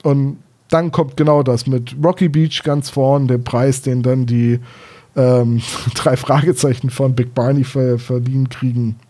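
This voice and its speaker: A man's voice: mid-range voice, level tone, a little slow, dark tone. A man talking casually as a podcast co-host, retelling and discussing stories.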